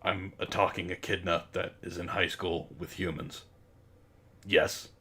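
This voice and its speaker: Man putting on a low, halting bad-boy echidna. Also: little pitch movement, sharp tone, slight background noise, heavily acted. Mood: sullen, sheepish. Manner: halting, sullen, posturing. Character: gruff talking echidna high-schooler, lazy edgy bad boy.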